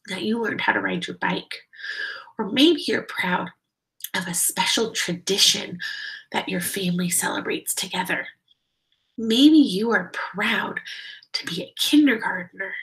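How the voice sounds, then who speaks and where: Slow-paced woman teaching young children. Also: mid-range voice, a bit bright, rough recording. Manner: simple explanations with guiding questions addressed to young children. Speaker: kindergarten teacher. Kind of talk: children's read-aloud lesson.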